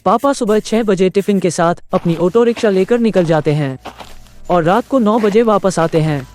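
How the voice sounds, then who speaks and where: Insistent teenage son for a cartoon moral tale, played by a man: high young voice, faint music underneath. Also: normal speed, a little mellow, close to an everyday voice. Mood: plain, reflective. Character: stubborn demanding teenage schoolboy son from a poor family. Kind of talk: animated moral story dialogue.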